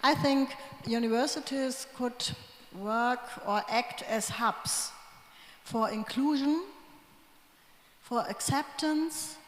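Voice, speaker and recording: Slow deliberate woman in echoey hall. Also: on the high side. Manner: slow, deliberate, pausing between phrases. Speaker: conference panelist. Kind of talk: panel discussion answer.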